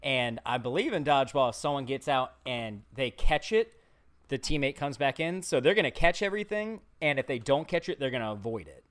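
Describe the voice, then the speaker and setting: A man's voice: medium pitch, animated, fairly quick, a bit bright. A young man co-hosting a casual podcast, trash-talking his friends with cocky confidence. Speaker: podcast co-host.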